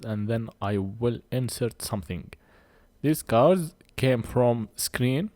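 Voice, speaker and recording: A man who speaks English as a second language gives a hands-on repair tutorial in halting short phrases, a little short of breath. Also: fairly low pitch, darkish tone, dry recording. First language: not English. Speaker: phone repair technician presenting a tutorial.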